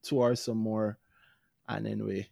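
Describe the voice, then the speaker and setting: A man's voice: on the low side, flat delivery, measured pace, vocal fry, mellow, no room sound, studio quality. A Jamaican man co-hosting a podcast, chatting informally about finance in a hesitant, self-repeating way. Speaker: podcast co-host reviewing stocks. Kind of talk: casual unscripted financial chat.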